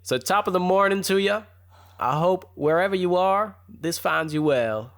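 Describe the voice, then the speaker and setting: A man's voice: high voice, lively intonation, normal speed, a bit bright, pitched above the performer's own voice. A man voicing a cheery Irishman with a put-on Irish accent and a jaunty, folksy manner. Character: cheery Irishman offering a greeting.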